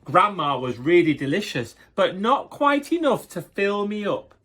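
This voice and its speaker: A man's voice: high-pitched, very animated, sharp tone, pitched above the performer's own voice, full character voice. Man putting on a greedy, crooning storybook wolf. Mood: growling, greedy. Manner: crooning, drawn-out, theatrical. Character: greedy male storybook wolf posing as a sweet grandma.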